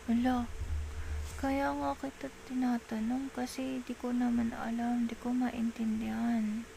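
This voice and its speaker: A woman with a Filipino accent playing a clingy, coaxing girlfriend in an intimate ASMR roleplay, pleading in soft baby talk. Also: fairly high pitch, little pitch movement, a little slow, gravelly, slight background noise, poor audio. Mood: puzzled, pleading. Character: clingy, coaxing girlfriend soothing a jealous partner.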